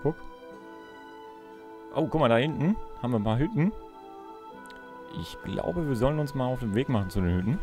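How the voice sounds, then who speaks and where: A man's voice: medium pitch, lively intonation, moderate pace, long pauses. A man giving casual let's play commentary, musing aloud in a playful way. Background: game music.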